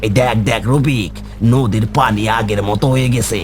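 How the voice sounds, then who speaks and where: A man's voice: mid-range voice, steady tone, normal speed, loud music behind the voice, close to an everyday voice. A man voicing a simple, eager village fisherman in a cartoon, with exclamatory, colloquial talk. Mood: amazed. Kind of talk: cartoon voice acting.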